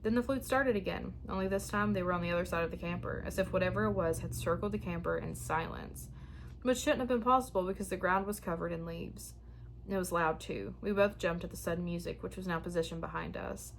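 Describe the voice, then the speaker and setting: A young woman who hosts a spooky story channel, chatting frankly and then reading a listener's tale aloud, her voice starting to go hoarse. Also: lowish voice, a little fast, slight background noise. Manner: chatty, frank and a bit frazzled when talking, steady when reading. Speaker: horror story channel host. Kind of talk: casual chat and reading listener stories aloud.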